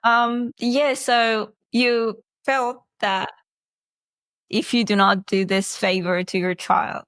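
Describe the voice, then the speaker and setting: A woman's voice: a little high, steady tone, slow, fairly bright tone, no room sound. A woman hosting an interview, encouraging her guest and restating the guest's points back to her. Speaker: podcast host. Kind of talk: casual interview conversation.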